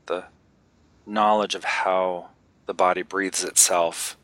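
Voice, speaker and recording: A man leading a guided meditation, giving gentle, unhurried instructions with long pauses between phrases. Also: deep voice, flat delivery, no echo.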